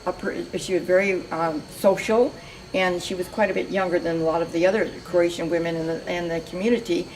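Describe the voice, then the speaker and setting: A woman's voice: lowish voice, fairly even intonation, a little fast, darkish tone, a little hiss or hum, low-quality recording. Older woman, meandering reminiscing chat. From Seattle, USA. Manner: chatty, meandering, many restarts. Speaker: interviewee. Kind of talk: reminiscing interview talk.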